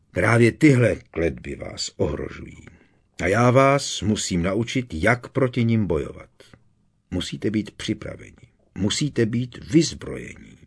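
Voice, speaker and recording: A man's voice: on the low side, slightly rough, heavily acted. A man voicing a grizzled, war-hardened old male professor with a stern, commanding delivery.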